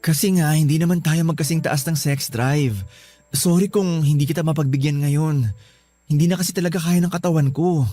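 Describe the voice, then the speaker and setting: A man's voice: on the high side, fairly even intonation, brisk, dark tone, dry recording, close to an everyday voice. A man playing a weary young husband. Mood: earnest. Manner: weary, pleading, flustered when pressed. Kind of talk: radio drama acting. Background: background music.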